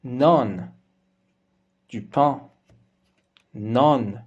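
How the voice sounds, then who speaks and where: A man's voice: medium pitch, breathy edge, muffled recording. A man whose native language is Persian, teaching a language lesson in French. He says each example word twice with care before giving its meaning. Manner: slow and careful, repeating each word twice before translating it.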